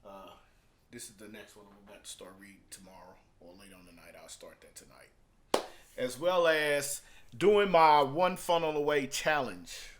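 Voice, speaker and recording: A man hosting a casual, unscripted video as a music producer and entrepreneur, speaking haltingly with emphatic repetition and shifting between subdued and hyped delivery. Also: fairly high pitch, lively intonation, a little hiss or hum. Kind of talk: casual unscripted vlog monologue.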